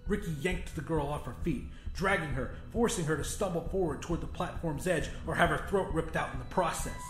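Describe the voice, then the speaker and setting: A man's voice: fairly high pitch, brisk, reverberant, faint music behind. A man giving a dramatic, scripted narration of a horror story as an audiobook narrator.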